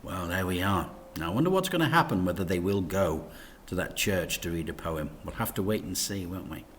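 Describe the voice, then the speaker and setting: A man reading a children's story aloud in an expressive, teacherly narration. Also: deep voice, a little fast, slight background noise.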